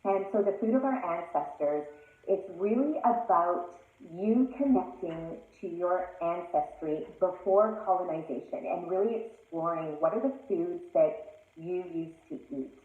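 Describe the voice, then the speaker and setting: A woman's voice: fairly low pitch, a little slow. A woman from Canada, a guest in an interview, explaining her views in an earnest, emphatic way. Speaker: interview guest, plant-based food advocate. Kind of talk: casual interview chat. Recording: tinny phone-like line, echoey room.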